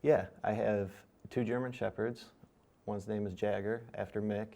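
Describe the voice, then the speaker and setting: Middle-aged guy from michigan, deep dark voice, slow and deliberate, lots of ums. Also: fairly even intonation, creaky. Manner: measured, deliberate, with filler pauses. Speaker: returning student and interviewee. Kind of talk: casual interview chat.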